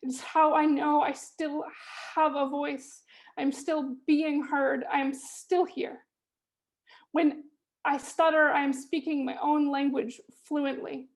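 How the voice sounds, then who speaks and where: A woman who stutters, reading her own spoken word poem in a measured, rhythmic way over a video call. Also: high-pitched, level tone. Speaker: poet reading her chapter.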